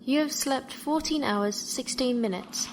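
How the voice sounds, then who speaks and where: An actress playing a composed, formal ship's artificial mind, speaking precisely and with measured care in a science fiction audio drama. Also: female voice, high-pitched, noisy background. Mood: composed, factual. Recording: music behind the voice.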